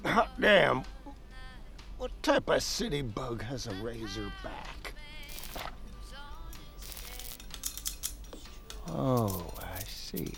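A man's voice: mid-range voice, creaky. An actor in an audio drama playing a crude, belligerent lawman, grumbling in a scornful drawl. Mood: startled, menacing.

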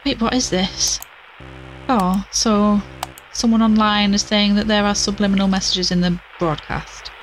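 A woman's voice: medium pitch, steady tone, unhurried, faint music behind, natural voice, poor audio. A woman playing an anxious, weary investigator who speaks casually and hesitantly into an audio diary in an audio drama. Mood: anxious, puzzled.

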